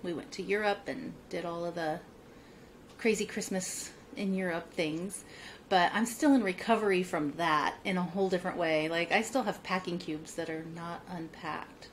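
A woman's voice: fairly low pitch, moderate pace, slight background noise. A woman in her thirties hosting a casual podcast chat from home over a video call, upbeat and chatty, laughing often. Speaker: podcast host. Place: home room with bookshelves, indoors. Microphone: earbuds on a video call.

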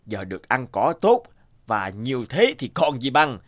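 Cartoon villain, wealthy landlord, greedy, smug, drawling, lively intonation. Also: male voice, mid-range voice, moderate pace. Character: sly, greedy, smug rich male landlord. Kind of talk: animated folk tale villain acting.

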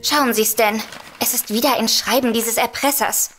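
An actress playing a brisk, composed woman in a comedic audio drama. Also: a little high, steady tone, fairly bright tone, faint music behind, close to an everyday voice. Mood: brisk, intent. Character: brisk, composed woman who dotes on her cat.

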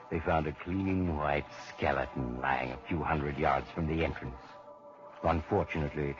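Macabre host of an old radio mystery: bottomless voice, ominous and mock-genial, music behind, dull vintage sound. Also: male voice, a bass voice. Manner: ominous, theatrical, mock-sympathetic. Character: sinister male storyteller, mock-genial, macabre. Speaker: narrator and host. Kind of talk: 1940s radio mystery narration. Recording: dull restored 1940s radio recording with music.

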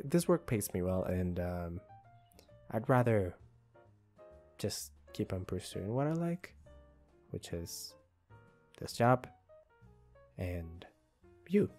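Man playing a low, halting, shy young employee. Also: heavily acted. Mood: shy, earnest. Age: young adult. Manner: hesitant, soft-spoken, halting. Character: shy, apologetic young male employee with a crush. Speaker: voice actor. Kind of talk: ASMR roleplay, intimate one-sided conversation. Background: soft guitar music.

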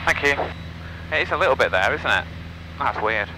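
A man's voice: medium pitch, animated, brisk, pushed and bright. A young man piloting a small plane, making clipped radio calls over an aviation headset. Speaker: private pilot. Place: inside a small aircraft in flight. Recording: noisy light aircraft cockpit intercom. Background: engine and radio noise.

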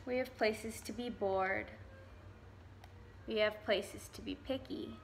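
A woman's voice: medium pitch, fairly even intonation, slow, a little hiss or hum. A young adult woman reading a picture book aloud to preschoolers as a volunteer, recorded indoors. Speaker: volunteer story reader.

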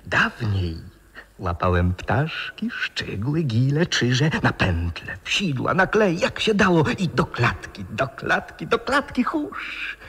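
Sly ghostly bird catcher, super raspy hushed voice, swoopy, echoing old radio play. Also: male voice, mid-range voice, sing-song, takes it slowly, exaggerated voice. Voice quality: raspy, creaking, whispery. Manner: hushed, insinuating, theatrical. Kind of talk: theatrical 1960s radio drama acting. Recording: reverberant 1960s radio play recording.

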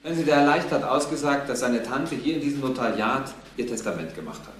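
An actor playing an insistent police investigator in a radio crime drama. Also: male voice, mid-range voice, animated, fast talker, fairly bright tone, natural voice. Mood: inquiring. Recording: roomy, faint noise.

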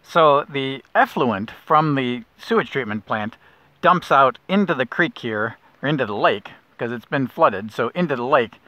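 A middle-aged man guiding a kayak nature trip, explaining plants in a relaxed way with small restarts, recorded outdoors on a lake. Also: medium pitch, unhurried, no echo. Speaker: naturalist guide. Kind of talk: outdoor nature walk narration. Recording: dull lo-fi outdoor audio.

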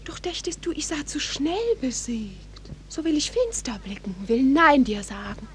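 A woman playing an ardent, anxious girl in love in a 1940s radio play, speaking classical verse in a breathy, hushed declamation. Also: sits high, very animated, moderate pace. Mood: shy, earnest. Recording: hissy 1940s radio recording.

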